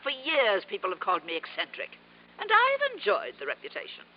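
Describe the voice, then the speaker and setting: An actress playing a wealthy, willful old aunt, eccentric and secretly sentimental, in theatrical 1950s radio drama style. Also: female voice, medium pitch, huge pitch swings, a little fast. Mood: defensive, proud. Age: older. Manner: theatrical, imperious. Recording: muffled, noisy vintage radio recording.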